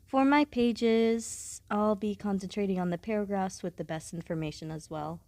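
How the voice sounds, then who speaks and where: A blunt, aloof schoolgirl type, acted by a woman for an audio roleplay. Her voice is soft-edged and dark, delivered deadpan in formal, matter-of-fact phrases. The recording is close and very dry. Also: mid-range voice, moderate pace, no room sound, close to an everyday voice. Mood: focused, matter-of-fact. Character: blunt, aloof, studious female student, coolly matter-of-fact. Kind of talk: scripted audio roleplay addressed to the listener.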